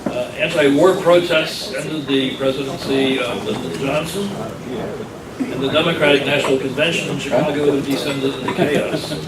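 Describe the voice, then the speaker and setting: A man reading a prepared introduction for a guest speaker at a club meeting, formal and measured. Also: mid-range voice, fairly even intonation, over a noisy crowd. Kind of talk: prepared speech read aloud. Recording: echoey room, distant mic, background clatter.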